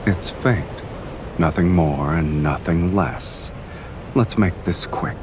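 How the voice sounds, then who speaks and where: An actor playing a cool, teasing, powerful male fiend in a romantic fantasy game, speaking in a smooth, measured, understated way. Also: sits low, background noise. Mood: cool, amused.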